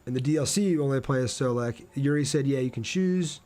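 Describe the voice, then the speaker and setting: A man with a mid-pitched voice commentates on his own game livestream. He talks casually, thinks aloud as he plays and chats in a friendly way with his viewers. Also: fairly quick, darkish tone. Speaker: game streamer. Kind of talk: casual livestream gameplay commentary with chat replies.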